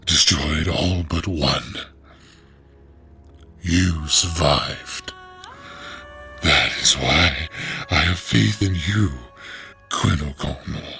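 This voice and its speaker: A man playing a solemn, weary dying revenant in an audio drama, halting and wheezing as he strains to speak. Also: extremely low pitch, bright, forceful tone, faint music behind, full character voice. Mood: solemn, weary confession. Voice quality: gravelly, strained. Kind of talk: dramatic audio fiction acting.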